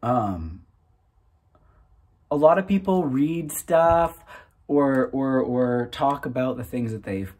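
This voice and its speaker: A man in his thirties hosting his own channel, talking casually and a little self-consciously in a halting, informal way. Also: medium pitch, expressive, darkish tone. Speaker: host of a reading channel. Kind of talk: casual, unscripted chat to camera.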